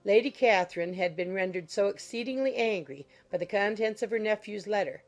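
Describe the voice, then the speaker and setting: A woman narrator reads a period novel as a scripted audiobook. Her voice sits at a middle pitch, with music playing underneath. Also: a little fast.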